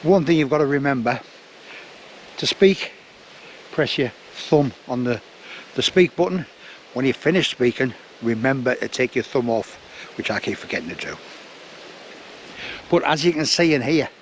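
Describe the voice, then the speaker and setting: A man's voice: medium pitch, expressive, unhurried, long pauses. Middle-aged man, noisy casual gear review. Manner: plain-spoken, explanatory. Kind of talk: casual product review to camera. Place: forest trail outdoors, and small room indoors.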